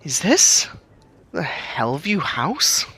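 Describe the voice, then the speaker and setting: A man voicing a prickly, sarcastic but soft-hearted young woman in a narrated audio story, earnest under the exasperation. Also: on the high side, sing-song, very slow, breathy edge, pitched above the performer's own voice, full character voice. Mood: puzzled.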